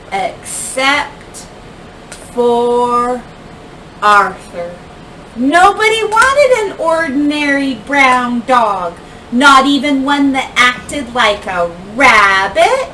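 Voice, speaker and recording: A woman teacher reading a children's picture book aloud expressively, with deliberate phrasing, dramatic pauses and emphasis. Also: sits high, sharp tone. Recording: distant mic, faint background noise.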